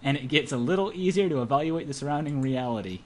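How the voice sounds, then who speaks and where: A man's voice: on the high side, lively intonation, fast talker, a little mellow. A young man, an amateur, reading a visual novel aloud with casual banter and stumbling over words as he goes. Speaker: let's-play co-host.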